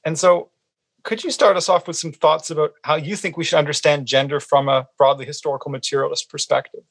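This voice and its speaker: A man's voice: on the high side, fairly even intonation, fairly quick, gravelly. A man with a Canadian accent, hosting and interviewing on a podcast. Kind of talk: scripted podcast introduction and interview.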